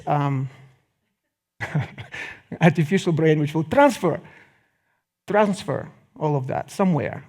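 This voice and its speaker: A middle-aged man lectures to the public in Russian-accented English. His voice sits a bit higher than most men's. He phrases things deliberately, pausing for effect and adding wry remarks. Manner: deliberate phrasing with dramatic pauses and wry asides.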